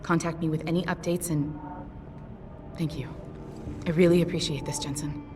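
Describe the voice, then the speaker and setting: A tough, stubborn female pilot from a video game, voiced by a woman with a lowish, clipped delivery and plenty of swearing. The voice sits back from the mic in a long reverb, with music underneath. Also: fairly even intonation, moderate pace, distant mic, close to an everyday voice. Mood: earnest. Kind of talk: video game cutscene dialogue.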